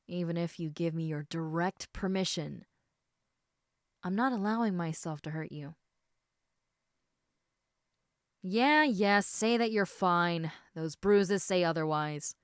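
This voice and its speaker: Casting: actress as caring half-snake lamia for intimate roleplay audio; soft, hesitant, unhurried delivery, very dry close sound. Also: female voice, fairly low pitch, clean tone, dry recording. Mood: caring, firm. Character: gentle, protective, indecisive female lamia, half snake.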